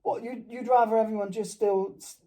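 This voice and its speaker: A man co-hosting a casual football chat, chatty and playful, with a voice he calls high-pitched. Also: steady tone, fairly quick, warm tone. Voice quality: says he has a high-pitched voice. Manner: chatty, playful, argues back easily. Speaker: podcast co-host.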